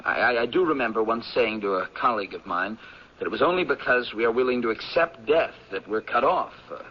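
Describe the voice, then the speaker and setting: A man's voice: medium pitch, a little fast, slightly rough, lightly acted. Actor as an agitated young man, muffled radio broadcast. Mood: hesitant, reflective. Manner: excitable, protesting, stammering when unsure. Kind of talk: old-time radio drama acting.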